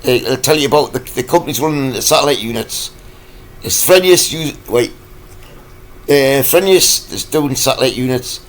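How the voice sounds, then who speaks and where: A man, croaky from a cold, ranting in a rambling, halting vlog full of fillers. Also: medium pitch. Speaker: vlogger.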